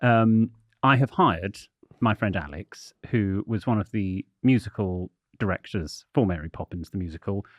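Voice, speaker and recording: A man's voice: on the low side, normal speed, no echo. A man co-hosting a podcast, talking in a precise, playful and camp way. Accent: English. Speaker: podcast co-host. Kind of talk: casual chat.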